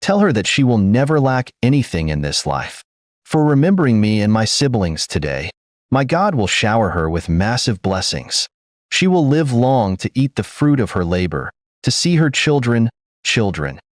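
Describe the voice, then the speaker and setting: A man's voice: mid-range voice, very animated, moderate pace, dry recording, clean studio sound, lightly acted. A man voicing a poor orphan young woman in a read folk tale, fervently praying blessings.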